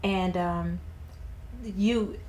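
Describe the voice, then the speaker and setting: A woman's voice: fairly low pitch, steady tone, very slow, a little mellow, slight background noise. Affirming woman host. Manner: affirming, encouraging listener. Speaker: interviewer, host. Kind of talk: interview chat.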